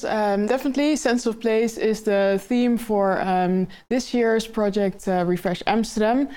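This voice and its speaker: Woman curator, lowish mellow voice, steady explanatory interview answers. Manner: explanatory, some fillers. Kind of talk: interview conversation.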